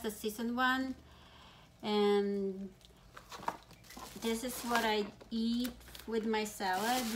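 A woman in her thirties who did not grow up speaking talks through her groceries at a leisurely pace. Her pitch sits in the middle of the range. The camera is on the table in front of her, and plastic bags rustle and crinkle clearly in the room. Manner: relaxed, unhurried, plain-spoken with pauses. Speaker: vlogger. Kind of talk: casual grocery haul chat. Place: home dining room, indoors. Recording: plastic bag crinkling noise.

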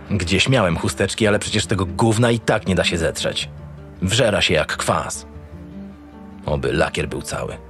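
A man plays a cynical homicide detective in a crime audio drama. His voice sits fairly low, gruff and sardonic, with irritation and swearing never far away. Music plays underneath. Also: fairly bright tone. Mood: irritated, scornful. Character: cynical, irritable male homicide detective, foul-mouthed boss. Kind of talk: first-person audio drama narration and dialogue.

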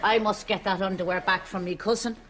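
A woman's voice: medium pitch, steady tone, brisk, a loud crowd, very noisy, close to an everyday voice. A woman playing a chatty, earthy older Irish neighbour in a sitcom, naively frank and matter-of-fact. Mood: cheerfully frank. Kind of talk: studio sitcom acting.

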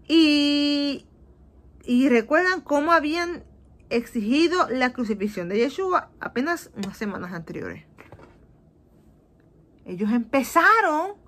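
Animated Puerto Rican woman teaching kids. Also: high-pitched. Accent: Puerto Rican Spanish. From Puerto Rico. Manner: animated, acts out voices of people, asks "¿ok?" often; mixes English into Spanish. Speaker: children's Bible teacher. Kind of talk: lively Bible lesson for children. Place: small indoor room. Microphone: webcam on a video call.

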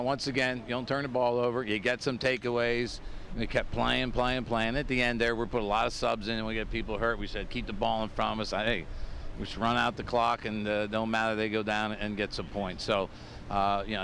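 A man's voice: mid-range voice, flat delivery, brisk, a bit bright, a little hiss or hum. Plainspoken male football coach. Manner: plainspoken, repeats himself, many fillers. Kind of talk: postgame interview.

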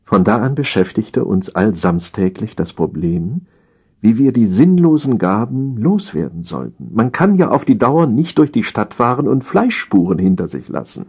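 Male audiobook narrator for comic prose: low, slightly rough voice, theatrical delivery. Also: sing-song, normal speed, darkish tone. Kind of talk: scripted humorous story narration.

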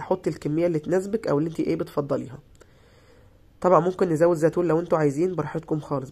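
A woman with an Egyptian Arabic accent, hoarse from being ill, giving a chatty, reassuring voice-over for a cooking tutorial. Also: deep voice, dark tone. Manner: chatty, reassuring, repeats herself. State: hoarse from illness. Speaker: cooking channel host.

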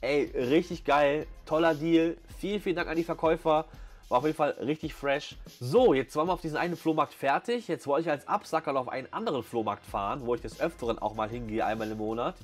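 Higher-voiced man vlogging over music. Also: quick pace. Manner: enthusiastic slang-filled chat. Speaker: vlog host. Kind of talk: casual vlog commentary. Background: background music.

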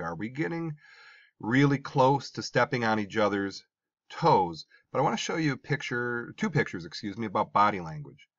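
A man's voice: medium pitch, fairly bright tone, no echo. A man teaching a social studies class, talking through slides in a conversational, emphatic way with asides and restarts. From American Midwest. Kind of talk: classroom lecture over slides. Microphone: voice-over recorded over slides. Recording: dull dry voice-over.

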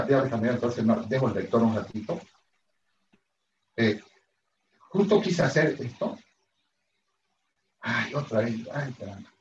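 A man's voice: mid-range voice, a little mellow, slight background noise. A middle-aged man teaching an online class at a whiteboard, chatty and self-deprecating, often asking his students questions through a headset mic. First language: Spanish. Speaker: university lecturer. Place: room at a whiteboard, indoors. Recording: roomy, slightly dull video-call audio.

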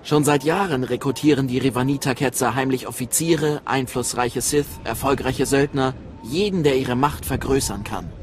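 A man playing a proud, grave Sith apprentice recruiter in a German game dub, formal and measured. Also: mid-range voice, close to an everyday voice. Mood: scornful, instructing.

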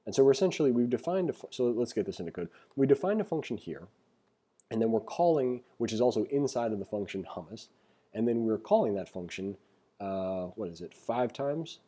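A man giving a casual tutorial as an instructor, reading aloud and commenting informally as he thinks out loud. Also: mid-range voice, animated, brisk, slightly rough. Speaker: programming instructor. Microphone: screen-recording voice-over.

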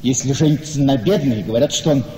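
An actor playing a cynical, mocking gentleman in a radio play, with a deliberate, sardonic, theatrical delivery. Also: medium pitch, natural voice. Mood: mocking, worldly. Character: cynical, mocking gentleman needling a friend's young wife. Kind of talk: Russian classic radio play acting. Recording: reverberant hall-like studio, faint noise.

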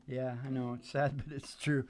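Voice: mid-range voice, no echo. A performer hosting a live acoustic country music show, with guitar in a lo-fi recording. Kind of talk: casual joking stage banter between acoustic country songs.

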